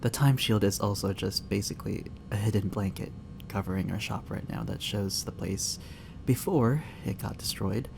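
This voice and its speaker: A man's voice: on the low side, moderate pace, creaky, background noise. A young man acting a shy, flustered barista who is secretly an agent in an ASMR roleplay drama, hesitant, stammering and apologetic. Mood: explaining, worried. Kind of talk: ASMR roleplay, acted dialogue spoken to the listener.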